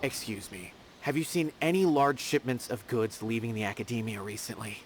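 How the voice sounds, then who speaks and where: A man's voice: mid-range voice, moderate pace, slight background noise, lightly acted. A man playing a loyal, earnest and polite young investigator in a video game. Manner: earnest, emphatic.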